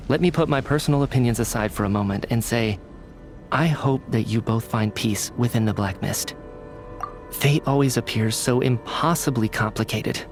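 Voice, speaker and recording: Man voicing a detached philosopher of fate, measured, calm, medium pitch. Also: natural voice. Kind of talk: game voice acting.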